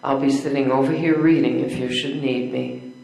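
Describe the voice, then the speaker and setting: A woman's voice: extremely low pitch, steady tone, moderate pace, gravelly, darkish tone. An older woman in amateur readers theater playing a kind, practical friend, speaking reassuringly. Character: kind, steady female friend soothing someone. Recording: echoey room.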